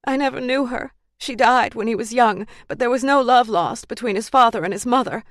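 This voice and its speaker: A woman's voice: a little high, fairly quick, no echo, clean studio sound, pitched above the performer's own voice, close to an everyday voice. A woman voicing a frightened wife, a suspect's spouse, in an audiobook, with a tense, pleading voice. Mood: anxious.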